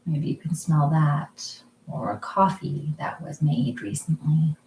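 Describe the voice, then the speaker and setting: A woman with a lowish, mellow voice guides listeners through a mindfulness exercise, slowly and gently, pausing often. She speaks from her home kitchen, and the room adds a noticeable echo. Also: whispered. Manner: slow, soft, calm guiding with frequent pauses. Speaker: mindfulness group leader.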